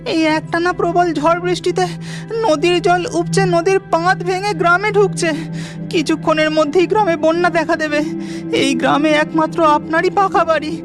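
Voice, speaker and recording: A woman's voice: very high-pitched, steady tone, normal speed, over music. A woman voicing an anxious male village farmer in a cartoon story, speaking urgently. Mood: pleading. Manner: urgent, pleading.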